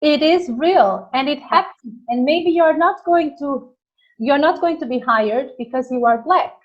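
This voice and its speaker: A woman's voice: high-pitched, measured pace. A woman with a Portuguese accent, hosting a personal conversation and speaking earnestly and emphatically, often restarting her sentences. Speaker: host. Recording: muffled lo-fi recording.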